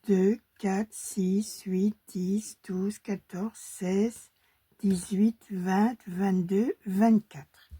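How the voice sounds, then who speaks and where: A woman with a Belgian French accent, hoarse from a cold, calmly teaching a crochet pattern step by step and counting stitches under her breath. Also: mid-range voice, drawn-out words, no echo.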